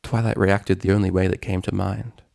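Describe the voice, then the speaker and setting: A man reading a story aloud as narrator, in a solemn, measured, dramatic style. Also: deep voice, little pitch movement, vocal fry, darkish tone, no echo, studio quality. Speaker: narrator, fan fiction reader.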